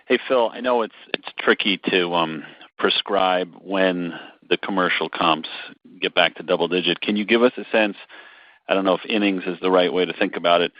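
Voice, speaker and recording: A man's voice: low-pitched, dry recording. A man speaking as a financial analyst, putting a question to management on a conference call. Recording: thin, dry phone line.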